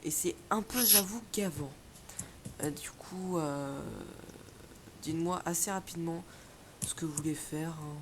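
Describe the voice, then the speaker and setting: A man chatting casually while playing a video game, relaxed and laughing often, trailing off with fillers. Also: a little high, a little slow, pauses often, noisy background. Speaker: YouTube gamer. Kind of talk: casual gaming chat. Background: faint keyboard typing.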